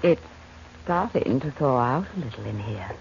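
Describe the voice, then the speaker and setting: A woman's voice: a bass voice, lively intonation, normal speed, vocal fry, a little mellow, exaggerated voice. An actress playing a cool, flippant young working woman with an American accent, giving evasive comebacks in a 1940s-style radio drama. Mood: frank. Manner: flip, evasive. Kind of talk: 1940s radio detective acting. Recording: muffled, noisy old radio recording.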